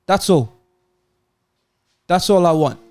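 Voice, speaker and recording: High-pitched man, plaintive podcast monologue. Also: animated, slow, darkish tone, clean tone, no room sound. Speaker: podcast co-host. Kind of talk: comic mock-sad monologue to friends.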